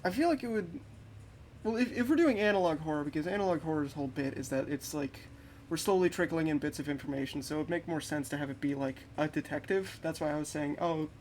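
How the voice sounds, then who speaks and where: A man's voice: fairly high pitch, fast, a little mellow. A man livestreaming in casual chat, informal and a little hesitant in how he puts things. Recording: clearly noisy background.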